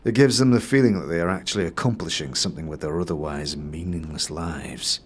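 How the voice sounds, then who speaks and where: A man voicing a disdainful, calculating moneylender in a fantasy game audio series, with a condescending, drawn-out and measured delivery. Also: sits low, breathy edge, pitched below the performer's own voice. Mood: cynical. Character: disdainful, calculating male moneylender, smug and snobbish.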